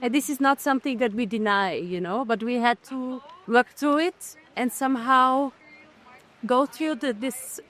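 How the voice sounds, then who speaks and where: A middle-aged woman from Austria, a documentary filmmaker answering festival interview questions in a thoughtful way, pausing and restarting as she looks for words. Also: a little high, fairly bright tone.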